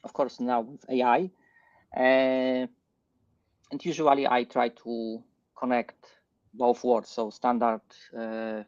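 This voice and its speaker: A middle-aged man with a Polish accent gives a live tech presentation, speaking haltingly and repeating words. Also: medium pitch, muffled recording. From Poland. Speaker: guest presenter. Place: online livestream session.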